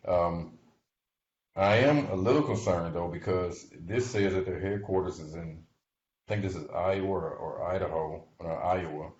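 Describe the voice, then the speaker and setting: Deep-voiced man, muffled echoey recording. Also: darkish tone. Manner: halting, conversational, often checks in with "right?" and "okay?". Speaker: investment commentator. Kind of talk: informal explanatory commentary on a company. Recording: muffled, noisy audio in echoey room.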